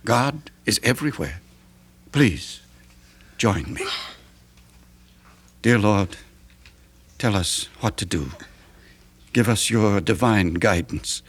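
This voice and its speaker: An actor playing a condescending, sanctimonious middle-aged gentleman in an old-time radio drama, patronizing, deliberate and pious in manner. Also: fairly low pitch, lively intonation, slightly rough, exaggerated voice. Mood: pious, persuasive. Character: smooth-talking, condescending, sanctimonious, evasive gentleman.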